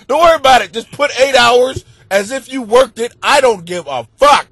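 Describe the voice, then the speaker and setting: A man with a blocked, stuffy nose rants like a comic on his own podcast, cursing freely and bursting into shouts. His voice sits high and leaps all over the place. The sound is close and dry, with no room around it. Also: measured pace, breathy edge, no room sound. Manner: animated, swearing, bursts into shouting. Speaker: stand-up comic and podcast host. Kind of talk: profane comedic rant monologue.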